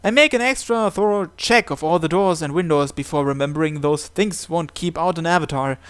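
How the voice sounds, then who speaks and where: A man reading a visual novel aloud as dramatic first-person narration, in a theatrical, deliberate way with short phrases. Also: on the high side, a bit bright, smooth.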